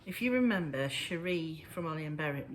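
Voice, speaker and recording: A woman chatting casually to camera about her knitting, a little breathless and wheezy, pausing often as she talks. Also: low-pitched, expressive, slight background noise. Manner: halting, pauses often. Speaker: knitting vlogger. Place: at home indoors.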